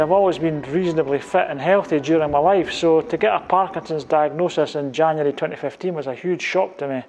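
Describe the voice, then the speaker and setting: Gravelly Scottish man in his sixties. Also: on the high side, a little mellow, slight background noise. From Scotland. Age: 60s. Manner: earnest, reflective, some repetition. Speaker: team captain. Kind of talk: interview answers.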